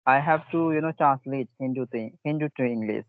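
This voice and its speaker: A man's voice: mid-range voice, brisk, no room sound. Man coaching English over a call. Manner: advising, in short phrases with many "you know" fillers. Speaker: English speaking coach and host. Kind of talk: conversation practice call. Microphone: online call. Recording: dull lo-fi dry call audio.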